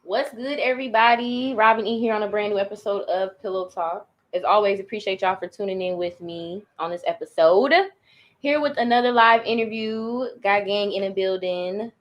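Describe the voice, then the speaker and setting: A young woman hosting a live podcast, talking loudly and casually, laughing and swearing a lot. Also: mid-range voice, moderate pace, dull audio. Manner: loud, laughing, swearing, animated. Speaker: podcast host.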